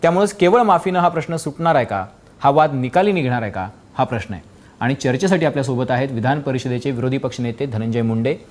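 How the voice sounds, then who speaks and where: A man's voice: mid-range voice, darkish tone. A man hosting a TV news debate, putting probing, insistent questions to guests. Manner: insistent, interjecting hums while guests speak. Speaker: TV debate show host.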